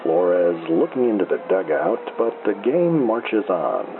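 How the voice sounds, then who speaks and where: Casting: male actor as deadpan small-town baseball radio caller, low and unhurried, lively melodic swings, heard through crackly narrow-band AM. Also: heavily acted. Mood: calm, matter-of-fact. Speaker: baseball radio play-by-play announcer. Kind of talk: slow old-time baseball radio play-by-play. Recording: noisy, thin telephone-like AM radio sound.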